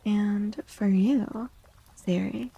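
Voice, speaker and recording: A woman reading tarot in a soft-spoken ASMR style, gentle and deliberate, often close to a whisper, with pauses and repeated phrases. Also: mid-range voice, fairly even intonation, gravelly, slightly rough, dull audio. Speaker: streamer, tarot reader.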